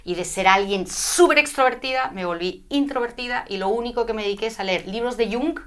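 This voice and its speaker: Middle-aged woman from spain telling her story in an interview, lively and spiritual. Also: on the high side, brisk. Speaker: guest. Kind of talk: conversational interview.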